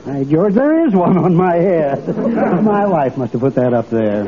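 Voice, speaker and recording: Befuddled professor, lively highish voice. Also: male voice, unhurried, over a noisy crowd. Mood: genial, befuddled. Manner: dithering, genial. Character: absent-minded, befuddled, good-natured male professor. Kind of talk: old-time radio comedy acting. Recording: dull vintage radio, audience behind.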